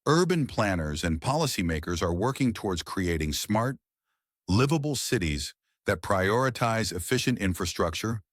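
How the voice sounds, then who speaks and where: A man with a low-pitched voice reads a prepared passage aloud. His delivery is measured and formal, and the sound is very dry, with no room around it. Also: big pitch swings, no echo. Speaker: model reader for exam practice. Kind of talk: scripted read-aloud narration.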